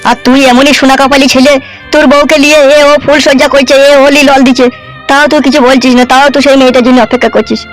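A man's voice: very high-pitched, little pitch movement, fast talker, fairly bright tone, soft background music, rough recording. A man voicing an older village uncle in a comedy cartoon, gossipy, commiserating and grumbling. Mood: sympathetic.

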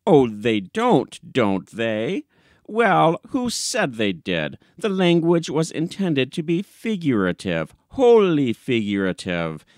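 A man's voice: mid-range voice, huge pitch swings, slow pace, dry recording, studio quality, pitched above the performer's own voice. A man voicing a smug, naive newspaper editor, self-assured and defensive, in a short story audiobook. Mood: affronted.

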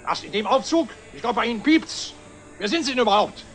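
An actor playing an officious, indignant doorkeeper in a brusque, bossy manner in a 1960s TV drama. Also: male voice, very high voice, expressive, a little fast, a bit bright, exaggerated voice. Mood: indignant, scolding. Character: officious, indignant male doorkeeper turning away a stranger. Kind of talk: 1960s German television drama acting. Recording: old TV soundtrack, music behind.

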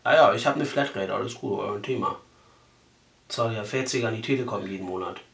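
A man's voice: fairly low pitch, brisk, creaky, fairly bright tone, roomy sound. A man in his twenties talking casually as a prank caller, brash and crude, with a mock-earnest colloquial way of speaking. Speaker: prank call host. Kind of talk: casual prank phone call. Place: small living room, indoors.

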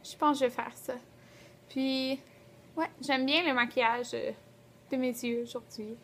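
A young woman with a Quebec French accent and a fairly high voice talks like a vlogger at home. She is chatty and colloquial, holding the camera at arm's length in a small room. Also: steady tone, measured pace, fairly bright tone, a little hiss or hum. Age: 20s. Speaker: beauty vlogger. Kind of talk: casual vlog chat to camera. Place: small rooms at home, indoors.

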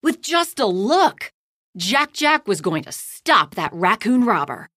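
A woman reading a children's picture book aloud, hushed at the bedtime lines and louder in the action. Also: medium pitch, no room sound, clean studio sound. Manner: slow, lively storytelling, hushed at bedtime lines and loud in action. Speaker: storybook reader.

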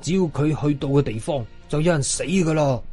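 A man voicing a cowardly, grumbling village uncle in a horror story, excitable and easily startled, with a Hong Kong Cantonese accent. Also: on the high side, a little fast, soft background music, close to an everyday voice.

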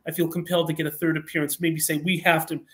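High-pitched man, earnest and halting. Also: little pitch movement, fairly bright tone. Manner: earnest, halting, self-correcting. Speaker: guest writer. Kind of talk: interview answers, storytelling. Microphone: online call.

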